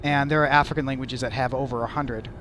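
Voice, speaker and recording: A man's voice: medium pitch, steady tone, fast talker, a bit bright, a little hiss or hum. A young American man hosting a casual podcast chat, curious and thinking aloud with lots of "like". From United States. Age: young adult. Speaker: host.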